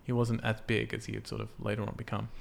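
A man's voice: lowish voice, completely flat delivery, fast talker, gravelly, a little hiss or hum. A man hosting a casual film podcast, explaining things in an informal, sweary way. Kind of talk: casual film discussion from notes.

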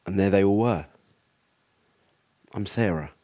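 A man's voice: low voice, measured pace, dark tone, no echo. A man voicing a terrified young woman who is short of breath. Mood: frightened. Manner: frightened, breathless.

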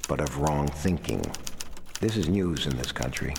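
A man's voice: rumbling low, animated, moderate pace, background noise. An actor playing a wry, eloquent screenwriter who voices his own letters in a radio drama. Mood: grave, wry. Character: wry, literate male screenwriter writing letters. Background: typewriter and music.

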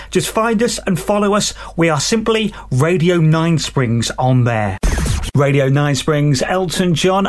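A man presenting local music radio in a chatty, lightly self-deprecating, tongue-in-cheek way. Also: fairly high pitch.

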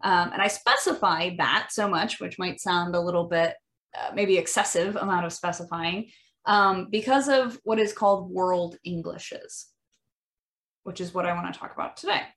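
A woman with a Midwestern American accent hosting an educational monologue, thoughtful and often pausing with ums and restarts. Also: on the low side, fairly bright tone. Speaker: podcast host.

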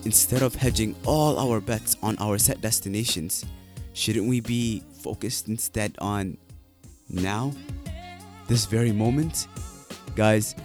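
A man's voice: lowish voice, a little mellow. A man who speaks Somali as his first language hosts a solo podcast, talking casually and playfully and asking many rhetorical questions. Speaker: podcast host. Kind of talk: solo reflective podcast monologue.

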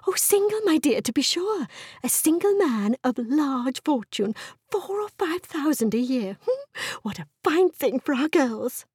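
Fluttery, high-pitched, easily vexed mother. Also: sing-song, a little slow, darkish tone, no echo, studio quality. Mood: excited. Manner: fluttery, gushing, exclaiming. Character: fussy, excitable middle-aged mother, scheming and easily vexed. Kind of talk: audiobook character voice, period novel.

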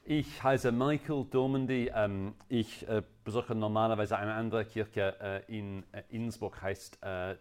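A male guest preacher with a fairly low voice and an English accent delivers a sermon very slowly. He is a native English speaker, and his non-native delivery is halting and deliberate. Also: steady tone, a little mellow, a little hiss or hum. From England.

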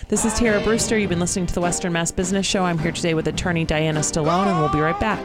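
A woman's voice: fairly low pitch, steady tone, racing, music in the background. A woman hosting a business radio show, asking questions and encouraging her guest. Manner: encouraging, affirming.

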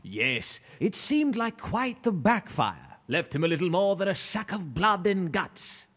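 An actor playing an eccentric, reckless wizard mentor who laughs often and speaks grandly. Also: male voice, high-pitched, sing-song, a little slow, a bit bright, exaggerated voice. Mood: gleeful. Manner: theatrical, giggly, grandiose. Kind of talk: fantasy audio drama acting.

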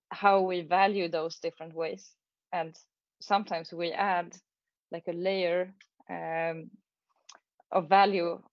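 A woman with a Swedish accent giving reflective thoughts as a panel guest, speaking in measured, halting phrases. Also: fairly low pitch, no echo, muffled recording. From Sweden. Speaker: panel guest, tech manager. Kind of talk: panel discussion, reflective talk.

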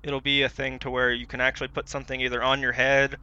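Bright, clear-voiced man on dull dry audio. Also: medium pitch, completely flat delivery, fast talker. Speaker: podcast co-host. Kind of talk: casual chat about video games.

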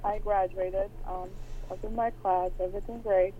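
Caller, woman in her 30s, from Iran, halting with lots of fillers, thin phone line with faint hiss. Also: medium pitch, steady tone. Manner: hesitant, many pauses and fillers. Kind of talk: personal call-in conversation.

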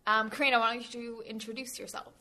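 A woman's voice: a little high, little pitch movement, fast talker, pushed and bright. A woman co-hosting a podcast, asking questions casually with fillers and restarts. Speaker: podcast co-host. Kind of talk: casual chat.

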